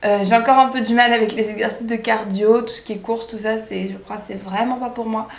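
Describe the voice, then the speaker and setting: A woman's voice: fairly high pitch, steady tone, a little fast. Chatty young woman in echoey room. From France. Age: 20s. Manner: chatty, hesitant fillers, enthusiastic. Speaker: vlogger. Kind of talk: casual introduction vlog.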